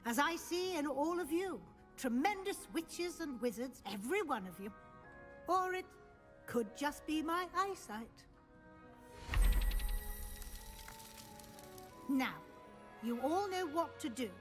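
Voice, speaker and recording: An actress playing a stern, dry-witted older woman professor in a video game, speaking in a formal, measured way. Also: high voice, animated, soft background music. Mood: commanding, wry. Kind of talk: video game cutscene acting.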